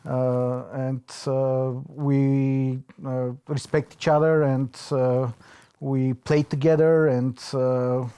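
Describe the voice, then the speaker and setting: Mellow Bulgarian-accented man, hesitant. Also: mid-range voice, level tone, clear, resonant tone, dull audio. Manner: hesitant, pausing often to search for words. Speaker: interviewee. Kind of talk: reflective oral history interview.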